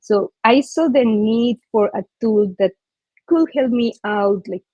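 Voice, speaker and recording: A woman's voice: fairly high pitch, unhurried, dark tone, no echo. A woman from Colombia with a Colombian Spanish accent, giving a friendly presentation on a video call with many fillers. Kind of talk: online user group presentation. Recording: dull, dry video call audio.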